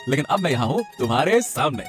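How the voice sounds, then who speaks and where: A man's voice: fairly high pitch, big pitch swings, fast, soft background music. A man voicing an earnest, dutiful young groom-to-be from a rich family in a cartoon story, hesitant and respectful. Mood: concerned, respectful. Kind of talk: Hindi cartoon story dialogue.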